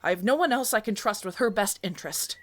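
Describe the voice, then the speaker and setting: A woman's voice: mid-range voice, animated, fast, slight background noise, close to an everyday voice. Woman acting an earnest, conspiratorial royal informant. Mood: pleading. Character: loyal, urgent palace informant of a deposed queen. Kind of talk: fantasy audio roleplay, dramatic acting.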